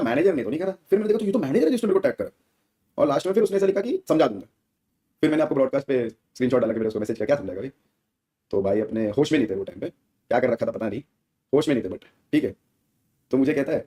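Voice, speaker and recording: A man's voice: mid-range voice, warm tone. A man speaking as a gaming streamer in a casual, slangy rant to viewers, often seeking their agreement. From India.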